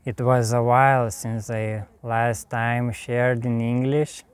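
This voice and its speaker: A man from Lithuania with a Lithuanian accent, giving a calm, meditative spiritual talk in a slow, soft way with long pauses. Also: medium pitch, clean tone. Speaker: spiritual teacher.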